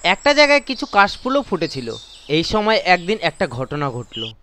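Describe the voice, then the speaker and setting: A man with a high voice narrates a first-person horror tale as a dramatised reading. Clear outdoor ambience with birds runs behind his words. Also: wildly swooping pitch, normal speed, pushed and bright, slight background noise. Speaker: story narrator. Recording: constant nature ambience effects behind voice.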